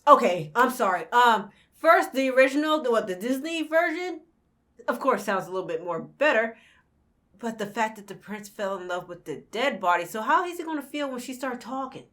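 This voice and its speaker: Animated, blunt woman reacting on camera. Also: fairly high pitch, normal speed. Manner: animated, blunt, swears freely. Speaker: reaction video host. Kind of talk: casual reaction commentary.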